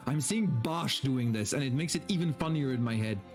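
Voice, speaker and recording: A male streamer gives a dramatic reading in a medium-pitched voice over video game music. His delivery is theatrical for the prose and turns casual and profane for his amused asides. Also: animated, brisk, darkish tone. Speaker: streamer reading a story aloud. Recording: background music under the voice.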